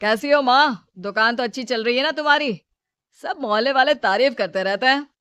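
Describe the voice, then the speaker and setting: A woman playing a selfish, smooth-talking adult son with a casual, self-serving manner in a Hindi moral story. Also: fairly high pitch, brisk, a bit bright, dry recording, clean studio sound, natural voice. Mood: glib.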